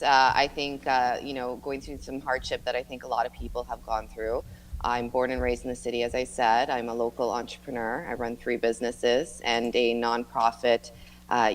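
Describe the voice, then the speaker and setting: A woman's voice: very deep voice, poor audio. A middle-aged woman, giving earnest conversational answers as a political candidate in an interview. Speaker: mayoral candidate being interviewed.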